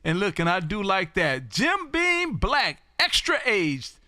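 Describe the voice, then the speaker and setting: An American man calling out as an amateur radio live streamer, loud and showy, often repeating his phrases. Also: sits high, wildly swooping pitch, takes it slowly, no room sound, clean studio sound. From Texas. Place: home radio room.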